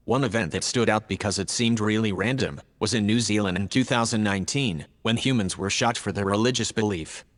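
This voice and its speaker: An earnest, logical male AI from a radio play, acted by a man. He reports in a measured, formal, factual manner. The voice is a little low, with a long hall-like reverb trailing it. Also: lightly acted. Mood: earnest, matter-of-fact. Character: male artificial intelligence, logical, earnest, troubled by humans. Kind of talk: scripted audio drama dialogue.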